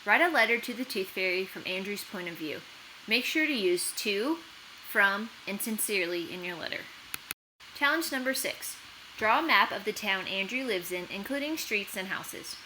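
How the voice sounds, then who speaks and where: A woman narrating for children as an expressive read-aloud storyteller and host. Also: mid-range voice, normal speed, a little hiss or hum, poor audio. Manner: expressive, clearly addressed to children.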